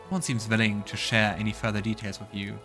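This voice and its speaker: A man's voice: on the low side, flat delivery, quick pace, pushed and bright, soft background music. A man hosting a let's play, mixing casual commentary with narration of the game's text. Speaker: let's play host reading game dialogue.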